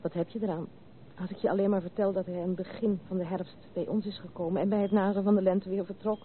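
A woman's voice: mid-range voice, little pitch movement, fast, lightly acted. An actress playing a spirited but wounded young noblewoman in a Dutch radio drama, speaking candidly and earnestly in literary phrases. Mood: confessional. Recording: narrow tinny old radio recording, faint hiss.

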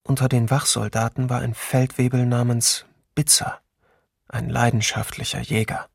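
A sober wartime eyewitness for a documentary radio play. His voice is husky and creaky, recorded very dry, and he narrates in a measured, grave way. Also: medium pitch, level tone, no room sound. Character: male eyewitness soberly recounting wartime camp atrocities.

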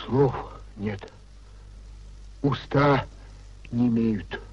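An actor playing a sanctimonious, fretful old government clerk in a 1950s Soviet radio play, moralizing in a pompous, lamenting manner. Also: male voice, medium pitch, extremely slow, husky. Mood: mournful. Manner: pompous, unctuous, sententious, lamenting. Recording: dull 1950s radio recording.

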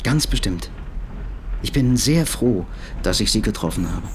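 A man's voice: fairly low pitch, expressive, measured pace, slight background noise. Bitter tired man on the run, formal n dry, radio play, train ambience behind him. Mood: grateful. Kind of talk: German radio play dialogue.

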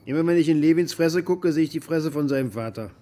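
An actor playing a bitter, maimed former military contractor who rambles coarsely and defensively in a naturalistic radio drama monologue. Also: male voice, fairly high pitch, fast talker, warm tone, natural voice. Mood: resentful. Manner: coarse, defensive, agitated rambling with rhetorical questions.

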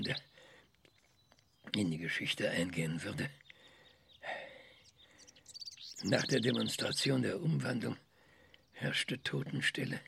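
A man's voice: lowish voice, creaky, fairly bright tone, a little hiss or hum, full character voice. An actor in a radio drama playing an ironic, cranky male scientist, hesitant and musing with dry sarcasm. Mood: reflective. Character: cranky, ironic maverick male scientist, passionate about research.

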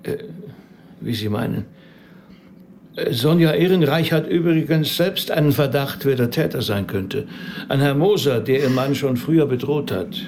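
An actor playing a polite, hesitant rabbi in a naturalistic radio drama, halting and deferential. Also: male voice, medium pitch, animated, a little mellow, a little hiss or hum, close to an everyday voice. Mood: uneasy, hesitant. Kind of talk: naturalistic German-language radio drama acting.

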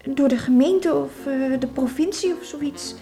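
An actress playing an anxious, talkative teenage girl in a radio drama, confiding in halting, rambling speech. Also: sits high, music in the background. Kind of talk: radio drama acting, naturalistic dialogue.

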